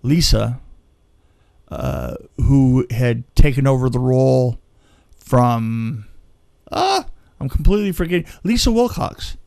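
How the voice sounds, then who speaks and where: A man's voice: medium pitch, animated, slow pace, gravelly, darkish tone, dry recording, studio quality. A man telling behind-the-scenes film stories in a casual, conversational way, acting out the exchanges he quotes. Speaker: film crew veteran, podcast storyteller. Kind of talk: casual anecdotal storytelling.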